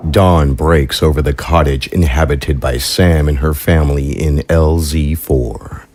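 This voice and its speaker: A man's voice: very deep voice, a little slow, a little mellow, no echo, clean studio sound. A man hosting and narrating a fiction podcast in a scripted style. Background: music.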